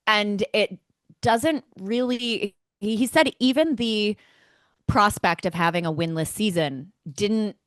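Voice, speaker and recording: A woman's voice: medium pitch, takes it slowly, a bit bright, dry recording. A woman co-hosting a motorsport podcast, talking through a race in an enthusiastic, conversational way. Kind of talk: casual race recap chat.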